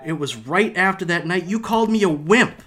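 High, pleading, bewildered man, played by an actor. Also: animated, normal speed, bright, forceful tone, full character voice. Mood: confused, pleading. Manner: pleading, flustered, stammering. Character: whiny, bewildered man being left by his lover. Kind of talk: audio drama acting.

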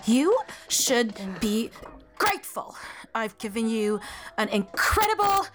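A woman's voice: on the high side, big pitch swings, slow pace, fairly bright tone, heavily acted. A woman acting in an audio drama as a cold, scornful lover walking out, with a biting, menacing delivery. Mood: cold, bitter.

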